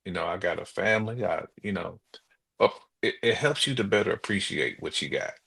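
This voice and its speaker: A man with a deep voice full of gravelly fry and a bright, forceful edge chats as a co-host. His phrasing is casual and colloquial. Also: animated, a little fast. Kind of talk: casual conversational chat.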